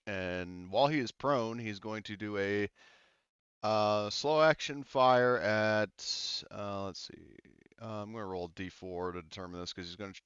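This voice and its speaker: Man, low voice, clear tone, casual halting game-master narration, lo-fi dry recording. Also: a bit bright, no room sound. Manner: halting, thinking aloud. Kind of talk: running a tabletop roleplaying game, casual.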